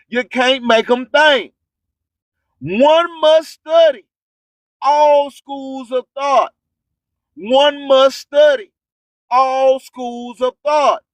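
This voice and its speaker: A man's voice: very high-pitched, dry recording, poor audio. A man hosting a radio show, delivering an emphatic, preachy motivational monologue full of streetwise slang and repeated rhyming slogans. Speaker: radio host.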